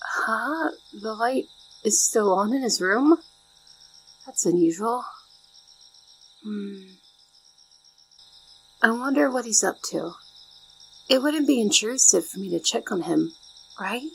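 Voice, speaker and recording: Woman acting a shy, breathy, slow-talking young maid. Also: on the high side, lively intonation, heavily acted, low-quality recording. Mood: curious. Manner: polite, formal, flustered and hesitant. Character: shy, devoted young female maid, smitten and dutiful. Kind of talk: soft ASMR roleplay acting.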